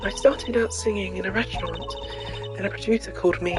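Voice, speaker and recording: A woman's voice: mid-range voice, brisk, close to an everyday voice. Wistful woman singer for a game amateur female voice actor: composed, reflective, formal phrasing, music in the background. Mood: serene, gracious. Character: serene, wistful foreign woman singer, mysterious and gracious. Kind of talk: amateur video game character voice-over.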